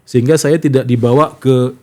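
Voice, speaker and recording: Middle aged guy, mid pitch voice, chatty storytelling, does the dialogue bits himself. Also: moderate pace. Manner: animated anecdotes, acts out quoted dialogue. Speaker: interview guest, journalist. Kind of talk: casual conversational storytelling.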